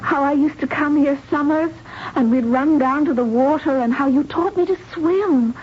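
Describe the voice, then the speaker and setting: A woman's voice: high voice, moderate pace, natural voice. A woman playing an earnest, bereaved young woman, sincere and hesitant, in a 1970s radio drama. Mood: tender. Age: young adult. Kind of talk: 1970s radio drama acting with first-person narration. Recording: dull, noisy 1970s radio recording.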